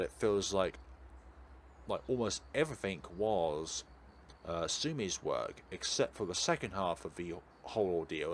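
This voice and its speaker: A man streaming a visual novel, narrating and commenting in an expressive, emphatic way. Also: deep voice, a little hiss or hum. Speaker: game streamer. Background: game music.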